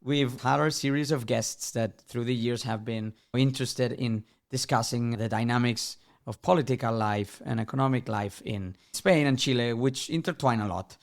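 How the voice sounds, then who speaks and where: Gravelly male podcast host. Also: mid-range voice, moderate pace, few pauses. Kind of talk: conversational interview hosting.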